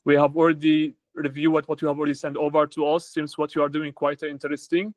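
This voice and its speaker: A fairly high-voiced man from Sweden leads a business call. He speaks briskly, with slightly non-native phrasing, and the sound is close and dry with almost no room. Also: level tone, dry recording. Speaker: capital-raising advisor hosting a call.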